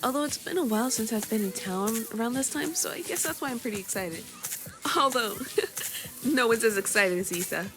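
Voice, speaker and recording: A woman voicing a friendly, busy young guild master who welcomes a new hire in a warm, slightly bossy way. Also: fairly high pitch, lively intonation, a little fast, close to an everyday voice. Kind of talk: scripted voice drama. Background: faint background music.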